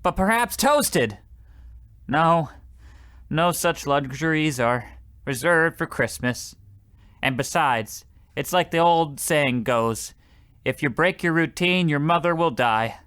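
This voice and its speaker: A man's voice: on the high side, animated, slow pace, a bit bright, smooth, no echo, clean studio sound. A man playing a meek, put-upon, poor postal worker who mutters fretfully in old-fashioned wording, in the style of old radio comedy. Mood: glum, resigned.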